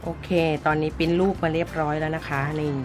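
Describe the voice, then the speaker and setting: A woman's voice: low-pitched, level tone, fast talker. A woman giving a casual step-by-step craft tutorial, talking to viewers informally like a big sister. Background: background music.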